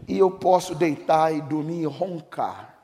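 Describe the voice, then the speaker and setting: High-voiced Brazilian pastor preaching in a reverberant hall. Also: male voice, lively intonation, unhurried. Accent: Brazilian Portuguese. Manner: swings from hushed storytelling to shouted preaching. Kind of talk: sermon with personal testimony. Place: church hall. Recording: echoing hall, long reverb.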